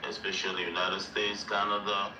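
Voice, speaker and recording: A man's voice: fairly low pitch, monotone, moderate pace, music in the background. A man, speaking as a guest in a casual online group discussion and repeating his points with emphasis. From Nigeria. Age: 40s. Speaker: call-in guest. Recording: roomy distant online call with background noise.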